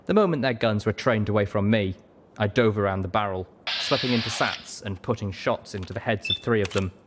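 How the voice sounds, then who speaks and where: A man's voice: lowish voice, a little fast, noisy background. A man reading a scripted adventure story as a dramatic narrator.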